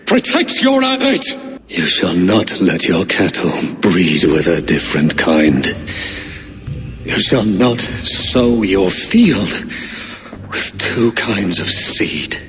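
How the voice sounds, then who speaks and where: Sly, defiant cult priest, slow and theatrical. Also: male voice, low voice, huge pitch swings, a bit bright, soft background music, exaggerated voice. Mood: thundering wrath. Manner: sly, sermonizing, taunting. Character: defiant, taunting pagan cult leader posing as abbot. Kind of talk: dramatic video game cutscene acting. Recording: long echoing hall, faint background noise.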